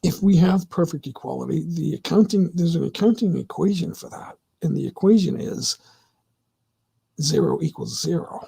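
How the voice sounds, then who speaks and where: A middle-aged man hosts his own livestream and talks straight to his chat. His voice sits fairly high and swings widely in pitch, with a warm, mellow tone. He rambles through his opinions and keeps stopping to restart his sentences. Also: animated, moderate pace, gravelly, breathy edge. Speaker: livestream host. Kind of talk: casual livestream monologue answering chat.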